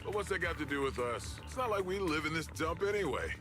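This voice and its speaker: A man's voice: a little high, animated, fast, close to an everyday voice. An actor playing a smug, menacing video game enforcer who taunts and talks down to his opponents. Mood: scornful. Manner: taunting, condescending. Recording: game music behind the voice. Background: game music.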